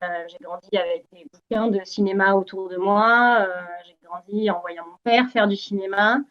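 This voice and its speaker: Guest, woman, 40s, from Algeria: earnest, halting interview answers, mid pitch, a bit lo-fi. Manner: earnest, many hesitations and restarts. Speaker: Greenpeace campaigner, guest.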